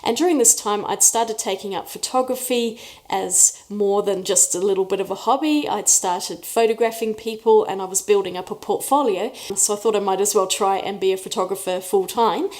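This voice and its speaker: Kiwi woman in her 40s doing a chatty q&a vlog, mid pitch, laughs a lot while telling stories. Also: moderate pace, darkish tone. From New Zealand. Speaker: art YouTuber. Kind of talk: casual Q&A vlog chat. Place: room away from her usual studio.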